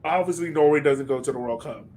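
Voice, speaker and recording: A man with a somewhat high voice hosts a casual sports podcast. His delivery is informal and conversational as he puts questions to others. There is faint noise behind him. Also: fast talker, a little mellow. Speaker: podcast host.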